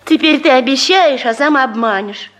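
An actress playing a fretful, vain wife in a radio comedy sketch, pestering, pouty and sorry for herself. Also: female voice, high-pitched, normal speed, a bit bright. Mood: pouty, self-pitying. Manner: pestering, pouty, self-pitying. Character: fretful, vain wife needling husband with morbid questions.

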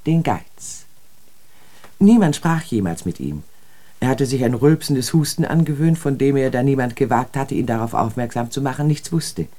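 A woman's voice: extremely low pitch, dark tone. A pensive, disillusioned educated woman. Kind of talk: literary German radio drama monologue.

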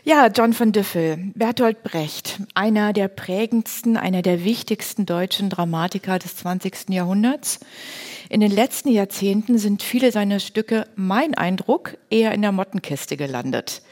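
A woman's voice: medium pitch, normal speed, a little mellow. A woman hosting a moderated talk on stage, speaking in an articulate, structured presenter's manner. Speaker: host, moderator. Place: hall with a live audience.

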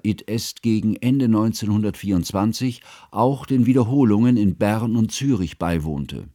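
An actor playing a bookish, earnest, fussy scholar, narrating a literary radio play in a measured, formal, pedantic way. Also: male voice, on the low side, mellow, no echo, studio quality. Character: bookish, earnest, fussy male scholar recounting his friend's life.

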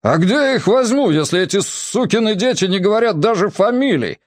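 A man voicing a gruff, domineering middle-aged police captain who talks sternly. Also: on the high side, very animated, moderate pace, darkish tone, no echo, studio quality, pitched above the performer's own voice. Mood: exasperated.

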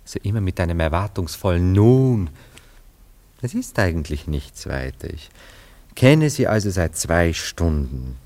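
A man's voice: low-pitched, animated, measured pace, a little mellow. An actor in a radio play, playing a nostalgic, self-absorbed romantic man who muses theatrically. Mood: rapt, nostalgic. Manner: wistful, musing, theatrical, savoring his words. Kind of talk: German radio play acting.

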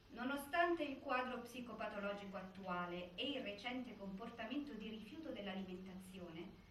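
A woman's voice: mid-range voice, fairly bright tone, natural voice. A grave woman narrator, played by an actress reads formal dramatic narration in a factual way. She is heard from a distance in an echoey space, with faint noise behind. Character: grave female narrator of a prison case. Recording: echoey stage, far mic, faint noise.

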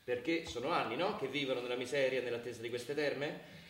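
A man's voice: mid-range voice, brisk, close to an everyday voice. An actor playing a pressured, persuasive male mayor who schemes and pleads, pressing with questioning prompts in a naturalistic radio drama. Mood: measured pressure. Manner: insistent, tagging sentences with questioning prompts. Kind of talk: naturalistic Italian radio theatre acting. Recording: echoey room, distant mic, faint noise.

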